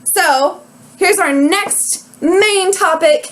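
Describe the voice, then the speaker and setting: A woman's voice: very high voice, drawn-out words. A young woman co-hosting a casual chat show, giggly and rambling, with lots of fillers. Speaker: podcast co-host.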